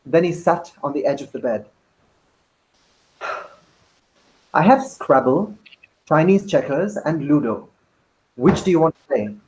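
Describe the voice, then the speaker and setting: A man, an actor, narrating a first-person novel in a measured, deliberate dramatic reading. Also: fairly high pitch, darkish tone, low-quality recording.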